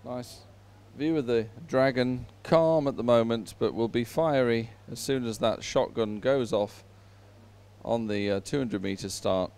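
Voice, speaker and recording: A man giving unhurried live sports commentary, filling time between races in halting phrases with frequent pauses. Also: medium pitch, a little mellow, smooth.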